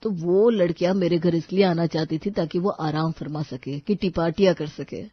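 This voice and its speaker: A woman voicing a frank, self-assured young businessman groom in a storybook tale, speaking in a measured, earnest way. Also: lowish voice, slightly rough, no room sound, muffled recording. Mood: earnest, confident.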